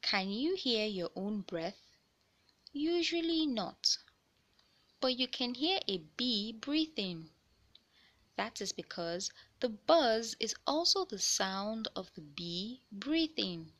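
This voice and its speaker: Lady reading a kids science book out loud, slow and deliberate, bright voice, expressive storyteller style. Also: a little high. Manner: deliberate, questioning storyteller delivery for young listeners. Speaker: read-aloud narrator of children's books. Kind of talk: expressive read-aloud of a children's science picture book.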